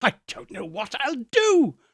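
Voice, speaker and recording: A man's voice: extremely high pitch, huge pitch swings, measured pace, dry recording, studio quality, pitched above the performer's own voice, heavily acted. A man voicing an overjoyed reformed old miser in a storybook reading, exclamatory and full of childlike glee. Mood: giddy joy. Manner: exclamatory, gleeful, whooping.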